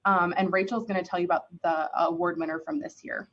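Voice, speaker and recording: Low-pitched woman presenting, dull audio. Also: fast talker. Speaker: city preservation staff presenter. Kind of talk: semi-formal presentation at a public meeting. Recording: dull, lo-fi online meeting audio.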